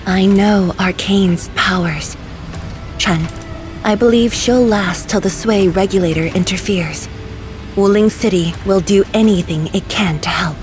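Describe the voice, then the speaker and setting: Woman doing calm formal lady commander from a sci-fi game, medium pitch, loud music under it. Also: a little slow, close to an everyday voice. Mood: composed, grave. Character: composed, authoritative female commander in a sci-fi game. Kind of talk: dramatic game voice acting.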